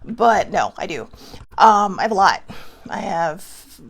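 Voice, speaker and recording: A woman from Hawaii hosts a casual vlog and speaks directly to her audience. She wanders from thought to thought, restarting sentences and leaning on little fillers. Her mid-pitched voice has a gravelly, creaky texture. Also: sing-song, slow. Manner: chatty, meandering, many fillers and restarts. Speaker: vlog host. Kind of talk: casual rambling monologue to viewers.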